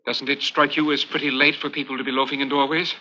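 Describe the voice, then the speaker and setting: Hunted american spy, really sharp forceful voice, urgent, old muffled radio suspense show. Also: male voice, medium pitch, little pitch movement, quick pace. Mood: tense, secretive. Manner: intense, urgent, emphatic. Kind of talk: 1950s radio suspense acting. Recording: muffled 1950s radio recording, music behind.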